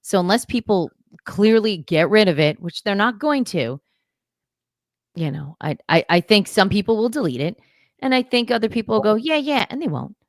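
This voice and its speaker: A woman's voice: medium pitch, animated, no echo, clean studio sound. A woman co-hosting a podcast, chatting casually with blunt, dismissive remarks. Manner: blunt, dismissive, quick asides. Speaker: podcast co-host. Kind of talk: casual chat.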